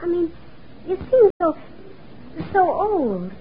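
Actress doing tender tired teen girl, high voice, earnest, lo-fi radio drama with faint hiss. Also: very animated, slow, exaggerated voice. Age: young adult. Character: weary eighteen-year-old girl, kind. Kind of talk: old-time radio drama. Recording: tinny lo-fi radio recording.

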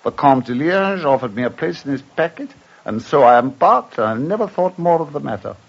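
An actor playing a witty, carefree English gentleman traveller in a 1950s radio drama, lively and mocking. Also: fairly high pitch, fairly quick, vocal fry, breathy edge, heavily acted. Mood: breezy. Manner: playful, mocking, lively delivery. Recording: dull lo-fi old radio broadcast.

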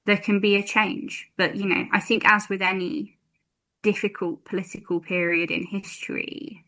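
A woman's voice: mid-range voice, fairly even intonation, sharp tone, poor audio. A woman in her twenties, a campaigner guest on a radio interview, speaking thoughtfully with many ums. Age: 20s. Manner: thoughtful, many ums, slow measured phrasing.

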